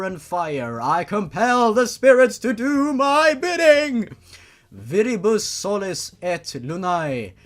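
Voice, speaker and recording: A man voicing a solemn father sorcerer in a theatrical fan fiction reading, given to slow, booming incantations. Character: solemn father sorcerer chanting resurrection spells.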